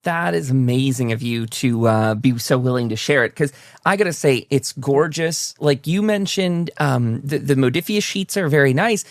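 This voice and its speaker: A man's voice: medium pitch, animated, moderate pace, no echo. Effusive male host in very dry sound. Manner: effusive, musing. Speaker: talk show host, interviewer. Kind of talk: casual interview chat.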